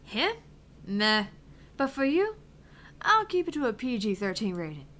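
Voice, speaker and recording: Woman playing a brash, animated arcade streamer gal. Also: fairly high pitch, measured pace. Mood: brash, cocky. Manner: taunting, theatrical, emphatic. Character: brash, cocky, foul-mouthed woman arcade game streamer. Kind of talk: visual novel read aloud in character.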